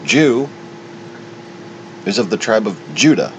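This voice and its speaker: Low-voiced man rambling over loud rumble. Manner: halting, digressive, opinionated. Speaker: solo commentator. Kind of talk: unscripted rambling monologue. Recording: muffled, with loud steady rumble.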